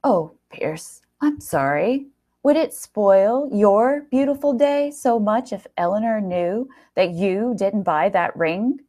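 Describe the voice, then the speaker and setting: A woman's voice: mid-range voice, animated, slow, dark tone, voice pushed up. A woman voicing a jilted boyfriend, sarcastic, accusing and indignant. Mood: sneering sarcasm. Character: Gary, bitter jilted boyfriend, sneering and indignant.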